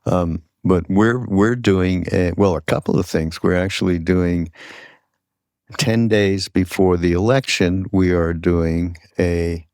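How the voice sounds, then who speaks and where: A man hosting a podcast in a casual, conversational way, with halting, meandering phrasing. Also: sits low, fairly even intonation, a little mellow, no room sound, studio quality. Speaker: podcast host.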